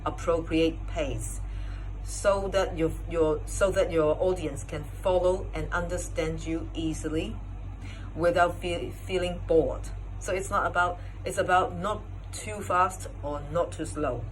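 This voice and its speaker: A woman speaking as a competition judge, giving explanatory feedback to contestants in a halting way as she searches for words. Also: lowish voice, steady tone, slight background noise, low-quality recording. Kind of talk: spoken feedback talk to contestants.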